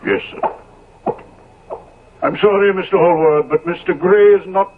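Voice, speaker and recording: An actor playing a deferential, easily flustered English manservant in a 1970s radio drama. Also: male voice, high voice, measured pace, noisy background. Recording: tinny old radio recording.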